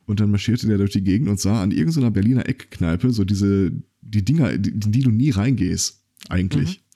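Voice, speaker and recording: Low, mellow man chatting on a podcast. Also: lively intonation, fairly quick, breathy edge. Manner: rambling storyteller, many fillers and restarts. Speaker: podcast host. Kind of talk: casual podcast chat.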